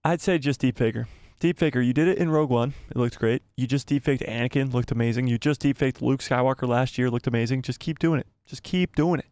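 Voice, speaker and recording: A man hosting a live stream, chatting casually and reading out viewer comments. His voice is going hoarse and he rambles and repeats himself as he thinks aloud. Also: mid-range voice, fast, hardly any pauses, darkish tone, no room sound. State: losing his voice, coughing. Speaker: livestream host.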